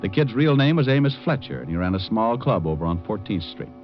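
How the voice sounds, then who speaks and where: Deep-voiced hardboiled private eye. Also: male voice, big pitch swings, fast talker. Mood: wry narrating. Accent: American. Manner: wry, hardboiled. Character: tough wisecracking male private detective, menacing. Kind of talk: 1940s-50s radio acting, hardboiled noir narration. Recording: muffled old-time radio recording with music.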